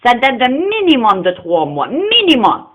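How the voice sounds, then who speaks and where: A woman's voice: a little high, wildly swooping pitch, a little slow, a bit bright. A non-francophone woman speaking Quebec French, arguing insistently and confrontationally on a phone call, often cutting in. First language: not French, non-francophone. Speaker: caller confronting someone over animal welfare. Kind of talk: argumentative phone conversation.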